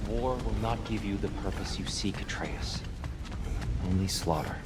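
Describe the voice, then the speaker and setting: An actor voicing a weary, anxious former war god turned pacifist in a video game, solemn and pleading in manner. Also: male voice, fairly low pitch, normal speed, background noise, lightly acted. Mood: sorrowful, solemn. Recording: loud game music over the voice.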